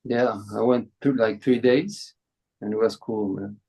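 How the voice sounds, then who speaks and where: A man guest chatting casually in short, halting phrases. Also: on the low side, warm tone, breathy edge. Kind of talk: casual chat.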